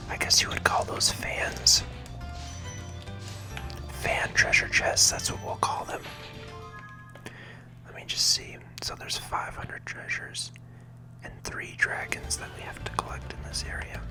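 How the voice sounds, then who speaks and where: Someone giving gentle, unhurried whispered ASMR commentary while playing a video game, talking through each move close to the microphone. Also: music in the background. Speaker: video game playthrough host.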